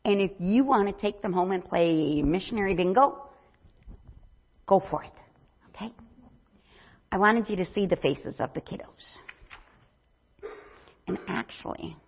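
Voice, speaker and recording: A woman's voice: medium pitch, measured pace, long pauses, a little hiss or hum. An older woman giving a chatty mission presentation at church, rambling with many ums. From not from Brazil. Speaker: missionary speaker. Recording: dull lo-fi sound.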